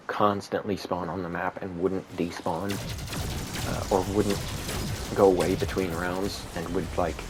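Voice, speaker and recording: A man giving rambling, monotone commentary while playing a video game, with many fillers. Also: sits low. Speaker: gaming video creator. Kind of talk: casual gameplay commentary. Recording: steady background noise.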